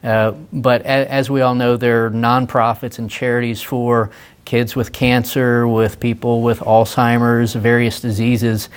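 Male interview host, mid-range voice; easygoing, quick to laugh, follows up on answers. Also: mid-range voice, level tone, clean tone. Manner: easygoing, laughs often, asks follow-up questions. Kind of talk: casual interview conversation.

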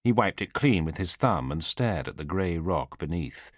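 Male audiobook narrator, low voice, expressive melodic range, solemn, suspenseful, measured phrasing, dramatic scripted reading. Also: no echo, studio quality. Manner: measured, solemn, suspenseful delivery with weighted pauses.

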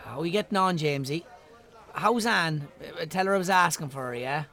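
A man's voice: a little high, brisk, sharp tone. An actor with an Irish accent playing a chatty, opinionated barman in a naturalistic radio drama. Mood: jovial. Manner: chatty, colloquial, emphatic.

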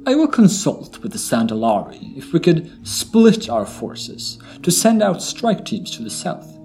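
A proud blood elf paladin, acted by a man, formal and refined in speech. He talks with lively intonation and a measured officer's composure, over a music bed, as in a fantasy audio drama. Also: a little high, voice pushed up, heavily acted. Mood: earnest, resolute.

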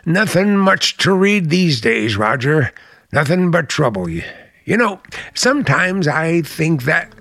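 Casting: bitter, brooding American uncle, radio thriller, higher-set creaky voice, hesitant. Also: male voice, big pitch swings, measured pace, a bit bright, full character voice. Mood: uneasy. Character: charming but bitter, secretive uncle. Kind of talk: mid-century American radio drama acting.

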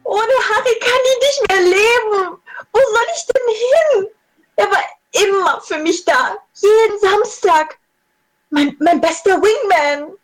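A woman acts out a distraught young witness in an amateur radio play. Her voice is extremely high. She is melodramatic, repeating her words in distress. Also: lively intonation, slow, exaggerated voice, poor audio. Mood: wailing grief.

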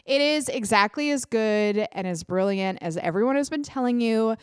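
Congested young woman rambling thru her podcast recs, smooth voice, dry close mic. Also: fairly high pitch, lively intonation, dry recording. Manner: chatty, self-deprecating, quick asides. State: congested, clogged ear. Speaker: podcast host. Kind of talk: casual solo podcast chat.

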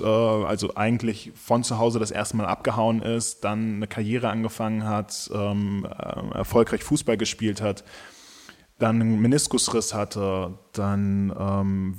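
Ein Mann Ende zwanzig, der im Interview nachdenklich über sein Musikalbum spricht, mit vielen Füllwörtern und Neuansätzen. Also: male voice, on the low side. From Germany. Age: late 20s. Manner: thoughtful, many fillers and restarts. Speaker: musician guest. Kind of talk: casual interview talk.

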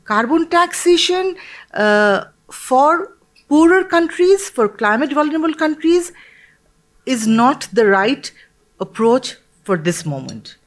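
A woman from Bangladesh speaking as a panelist in a discussion, earnest and measured, with pauses and restarts. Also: high voice, big pitch swings.

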